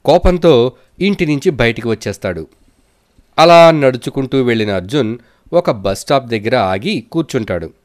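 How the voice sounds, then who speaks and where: A man's voice: mid-range voice, expressive, moderate pace. A man narrating scripted moral stories for children as a storyteller.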